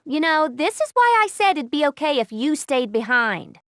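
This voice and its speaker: A woman's voice: sits high, sing-song, normal speed, fairly bright tone, no room sound, studio quality. A woman voicing a brash, protective young girl in an anime game, blunt and exasperated. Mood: concerned.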